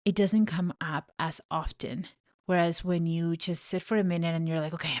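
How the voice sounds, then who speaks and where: A woman's voice: fairly low pitch, dry recording, clean studio sound. A pregnant woman in her thirties from Venezuela, hosting a faith-based coaching podcast in an earnest, heartfelt way. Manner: earnest, heartfelt, with soft laughs. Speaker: podcast host, life coach. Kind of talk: personal reflective podcast talk.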